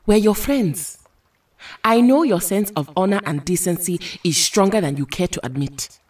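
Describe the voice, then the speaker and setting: A woman acts as a noble goddess-like superheroine in an audio drama. Her voice is low and distinctly husky, a little distant from the mic. She chooses formal, measured words with serene dignity. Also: animated, darkish tone. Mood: gentle.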